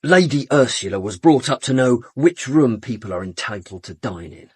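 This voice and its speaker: A supercilious British man in a radio murder mystery. He is cool and sardonic, with clipped, disdainful phrasing. His medium-pitched voice has a husky grain and sounds dry and close. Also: lively intonation, moderate pace, no room sound. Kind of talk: British radio drama acting.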